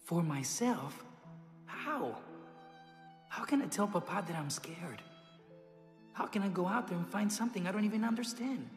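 A young man playing an anxious, wry prince in an animated film, with a self-doubting way of talking. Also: sits high, normal speed, pauses often. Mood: anxious, dejected. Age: young adult. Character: anxious, wry twenty-year-old cartoon prince. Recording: long reverb, faint music.

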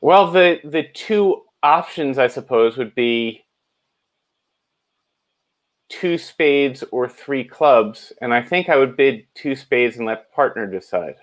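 A man co-hosting a bridge lesson on a video call, asking questions in a hesitant, soft-spoken way with murmured agreement. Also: medium pitch, lively intonation, slow pace. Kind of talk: casual chat on a video call.

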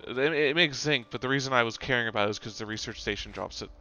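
A man's voice: mid-range voice, fast, sharp tone, dull audio, a little hiss or hum. A man co-hosting a game recording, chatting in an easygoing way and making dry jokes. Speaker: let's-play co-host. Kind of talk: casual gaming chat.

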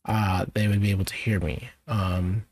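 A man presenting a software tutorial in a casual, informal way, thinking aloud as he goes. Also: on the low side, flat delivery, moderate pace. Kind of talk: casual screen-share software walkthrough.

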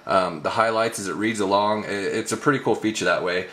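Casual male tech reviewer, lowish pitch, brisk pace, roomy echoing recording. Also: pushed and bright. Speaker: tech reviewer presenting a demo. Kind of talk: casual product demo narration.

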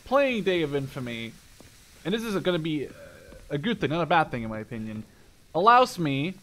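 A man's voice: a little high, sing-song, moderate pace, fairly bright tone, poor audio. A man in his twenties giving casual, rambling gameplay commentary, opinionated and emphatic, with some swearing. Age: 20s. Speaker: gaming video host. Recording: game gunfire and clicks behind voice. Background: video game gunfire, clicks.

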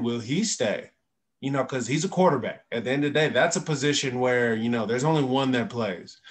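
Emphatic young man on a video call. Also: medium pitch. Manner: emphatic, animated, uses many fillers. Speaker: guest, former college football player. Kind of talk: casual sports talk. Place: indoor room.

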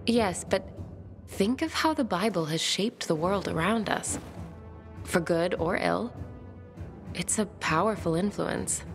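Bookish romantic woman, lowish voice, eloquent, courteous, video game dialogue, music underneath. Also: animated, takes it slowly, long pauses. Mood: thoughtful, courteous. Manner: eloquent, formal, thoughtful.

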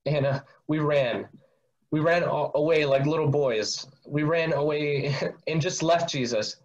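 A man playing a remorseful, impulsive disciple in an amateur dramatic reading, speaking in a confessional, sometimes halting way. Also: fairly high pitch, close to an everyday voice. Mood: ashamed. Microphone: video call. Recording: muffled video-call audio.

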